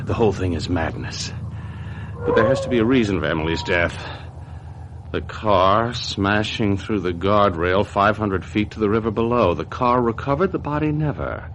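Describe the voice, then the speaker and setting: Brooding murderous husband, low and dramatic. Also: male voice, expressive, normal speed, a bit bright, slight background noise. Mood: agitated, brooding. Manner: theatrical, brooding, self-justifying. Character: guilty, scheming husband who murdered his wife. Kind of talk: old-time radio mystery drama acting.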